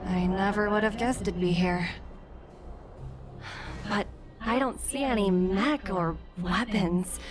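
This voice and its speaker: Unhurried, confident corporate agent. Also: female voice, mid-range voice. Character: confident young female corporate agent, shrewd and playful. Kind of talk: video game voice acting. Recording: game music behind. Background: game music.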